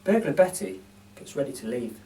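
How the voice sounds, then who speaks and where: Animated male storyteller, distant mic. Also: mid-range voice, moderate pace. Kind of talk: expressive children's story narration. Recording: distant microphone.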